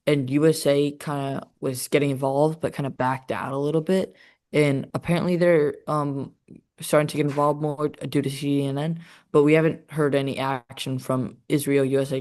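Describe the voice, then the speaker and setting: A teenage boy co-hosting a student podcast, presenting in a casual, slightly halting way. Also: medium pitch, darkish tone.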